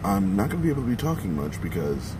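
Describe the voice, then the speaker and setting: A man's voice: low-pitched, very animated, fast, background noise. A man filming a casual travel vlog outdoors, chatty and wry, who is losing his voice. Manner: chatty, wry, self-narrating. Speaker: vlogger. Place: outdoors, windy town streets. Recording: music under the voice.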